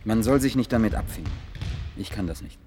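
A man's voice: lowish voice, expressive, fairly quick, mellow, soft background music, lightly acted. An actor playing a polite, earnest young man in a German radio play, courteous and inquiring with slight hesitation. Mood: indignant.

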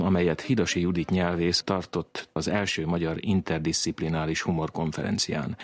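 A man hosting a radio science program, reading measured scripted narration. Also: low voice.

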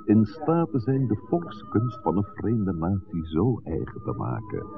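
A muffled vintage radio recording of a polite village priest. His low voice rises and falls expressively through formal, earnest, slightly flowery phrases. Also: huge pitch swings, measured pace, gravelly, over music, exaggerated voice. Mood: admiring, courteous. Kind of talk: 1960s Dutch radio drama acting.

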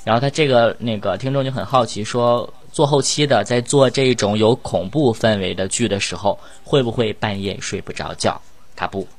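A man's voice: on the low side, moderate pace. A man hosting a casual group chat and relaying questions to his guests. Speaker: host relaying audience questions.

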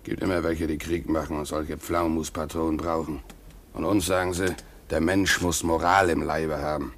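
A man's voice: extremely low pitch, little pitch movement, normal speed, a bit bright. A man playing a stolid, fatalistic ship stoker in a German radio play, laconic and colloquial with dry irony. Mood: sardonic. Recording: faint background noise on radio recording.

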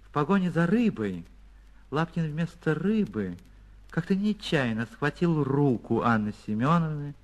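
A man reading a literary short story for radio as an actor, expressive and theatrical, with deliberate pauses. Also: on the high side. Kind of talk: dramatic literary narration for radio.

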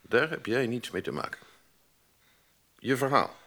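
An actor in a radio drama playing a stern, proud older aristocratic father, gravelly and commanding in short clipped sentences. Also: mid-range voice, very animated, unhurried, pushed and bright, heavily acted. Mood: commanding, impatient. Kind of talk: Dutch radio drama acting.

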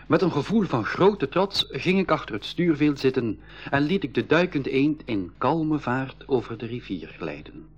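A man's voice: medium pitch, lively intonation, normal speed, husky. A man playing an eager young boy adventurer who narrates his journey in a school radio drama. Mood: measured, wondering. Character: eager boy adventurer, Marleen's younger brother, telling the tale. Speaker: narrator and character in a radio play. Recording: dull 1980s radio recording.

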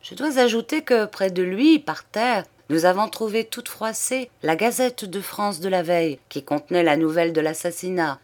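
A woman reading an audiobook, voicing an excitable, talkative old man who is vain and emphatic. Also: fairly low pitch, normal speed, hardly any pauses, close to an everyday voice. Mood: confident. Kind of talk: audiobook character dialogue.

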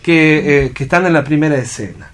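An Argentine man reflecting aloud as a radio panelist, halting as he thinks and often checking for agreement. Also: a little high. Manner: thoughtful, halting, seeks agreement with tag questions. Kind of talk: reflective unscripted discussion.

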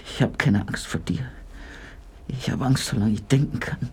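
An actor playing a defiant, frightened man at the end of his strength in an intense, intimate radio drama, halting and breathy, slipping into whispers. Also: mid-range voice, a little hiss or hum, close to an everyday voice. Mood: defiant, threatening. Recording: music behind the voice. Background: instrumental music.

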